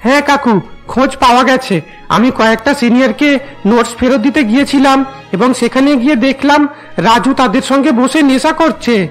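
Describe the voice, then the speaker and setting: A man's voice: very high-pitched, steady tone, fairly quick, faint music behind. A man voicing an earnest teenage schoolboy in a melodramatic cartoon, reporting to an elder in an informative way. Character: earnest teenage boy, friend of the son. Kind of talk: melodramatic Bengali cartoon dubbing.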